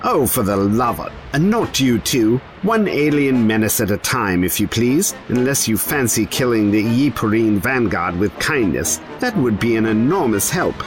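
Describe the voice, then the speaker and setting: Wry, lively-voiced man. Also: medium pitch, moderate pace, hardly any pauses, over music. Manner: wry, sardonic inner monologue. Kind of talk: audio drama acting.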